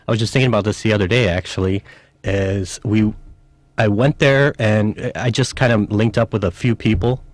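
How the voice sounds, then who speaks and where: Earnest low-voiced man from Chicago. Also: fairly even intonation, normal speed, no echo. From northwest side of Chicago, USA. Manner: earnest, reflective storytelling. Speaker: guest. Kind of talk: radio interview.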